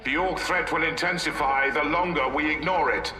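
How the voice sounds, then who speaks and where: A blunt soldier, with a very bright, hard-edged voice. He sounds echoey and set back from the mic, with game music under him. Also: fairly high pitch, moderate pace. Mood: skeptical. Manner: blunt, skeptical.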